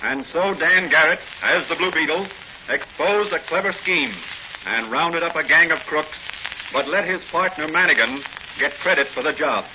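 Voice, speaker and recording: Male announcer, 1940s radio narration, formal, dramatic, fairly high voice, scratchy muffled recording. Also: expressive, moderate pace.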